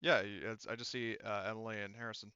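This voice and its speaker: A man acting as game master in a casual tabletop roleplaying session, narrating and organizing in a halting way as he thinks aloud. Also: fairly low pitch, level tone, bright, forceful tone, smooth, no room sound, highs cut off.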